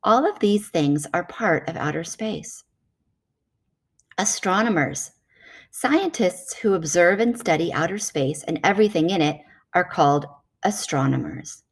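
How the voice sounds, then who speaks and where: A woman's voice: fairly low pitch, lively intonation, measured pace, breathy edge. Woman reading a children's science book aloud.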